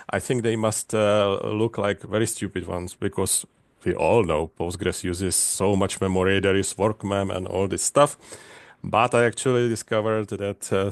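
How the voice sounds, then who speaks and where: A middle-aged man with a Czech accent presents technical material in a low voice. His delivery is halting, with pauses and restarts, and the sound is close and dry. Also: dry recording. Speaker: conference speaker, database specialist. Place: indoors, online video call.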